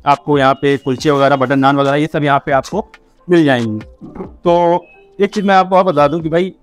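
A man's voice: fairly high pitch, normal speed, clear, resonant tone, faint music behind. A man in his thirties hosting a casual food vlog, talking chattily with fillers, recorded on a lapel mic. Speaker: food vlogger host. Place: busy street at night, then restaurant indoors. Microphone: clip-on lapel mic.

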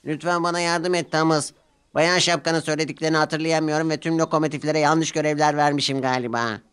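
A man's voice: on the high side, fairly even intonation, quick pace, fairly bright tone, clear, resonant tone, pitched above the performer's own voice, natural voice. A man voicing an eager, self-important little male engine who gives orders proudly in a cartoon. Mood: worried. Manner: proud, bossy.

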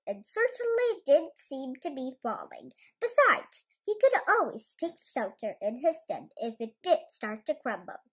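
A young female storyteller reads a children's tale. The voice is high and very lively in its melody, with expressive delivery throughout. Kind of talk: children's bedtime story reading.

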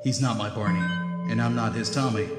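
A man's voice: lowish voice, steady tone, a little fast, in a large hall, lightly acted. A man voicing a downcast, haunted comic-book drifter who speaks earnestly and confessionally. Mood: wistful. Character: downcast, haunted drifter mourning a lost friend. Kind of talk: comic-book dub voice acting. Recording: voice under loud music. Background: loud music.

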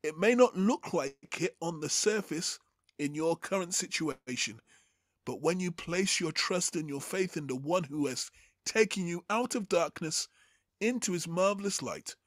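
A man hosting a Bible teaching, speaking in a deliberate, emphatic, phrase-by-phrase sermon style. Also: fairly high pitch, expressive, dry recording, studio quality. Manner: deliberate, emphatic, phrase-by-phrase delivery with rhetorical questions.

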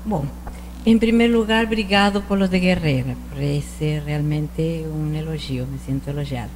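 A woman's voice: sits low, normal speed, over music. A woman in her forties from northern Argentina with an Argentine Spanish accent, talking as a shop owner in a studio interview, mixing Spanish words into her speech and restarting sentences often. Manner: mixes Spanish words into Portuguese, hesitant restarts. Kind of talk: casual interview answers. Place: TV studio set styled as rustic ranch. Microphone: handheld mic.

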